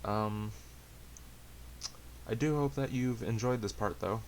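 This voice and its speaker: A man chatting casually to viewers, hesitant and halting, with a self-deprecating, rambling way of talking. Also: mid-range voice. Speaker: amateur story reader and channel host. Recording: hissy amateur home recording.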